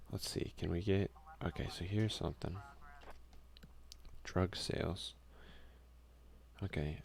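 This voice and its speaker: Man, deep voice, gravelly fry, soft-spoken casual gaming commentary, muttered asides. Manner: reads game text aloud, mutters offhand asides. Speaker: let's play host.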